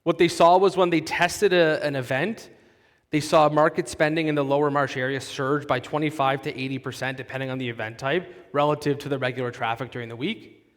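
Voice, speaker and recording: A man's voice: a little high, fairly even intonation, brisk. A man giving a polished conference talk, explaining case studies and then moderating an onstage conversation. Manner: polished, explanatory, with occasional restarts. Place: large conference hall. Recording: long reverb of a conference hall.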